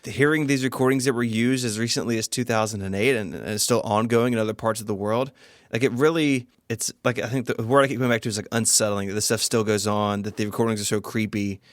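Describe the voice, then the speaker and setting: A man's voice: on the low side, fairly even intonation, fast talker, no room sound. A man co-hosting a podcast, moving between scripted narration and casual conversational talk on a close mic. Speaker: podcast co-host.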